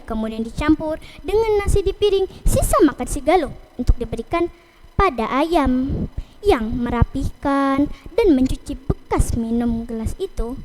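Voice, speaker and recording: A woman telling a children's folktale in a deliberate, phrase-by-phrase way. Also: high voice, a little mellow, poor audio. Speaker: storyteller. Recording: noticeable background noise.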